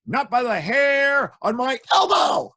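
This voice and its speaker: A man's voice: sing-song, slow pace, sharp tone, full character voice. A man voicing frightened, forgetful little pigs in a comic fairy tale. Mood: flustered. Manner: squeaky, flustered, panicky.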